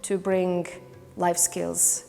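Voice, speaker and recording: Slow-talking Hungarian-born woman volunteer trainer. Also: on the low side, monotone, creaky, dark tone, in a large hall, faint music behind. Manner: thoughtful, many fillers and restarts. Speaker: volunteer trainer and career counselor. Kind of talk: testimonial interview.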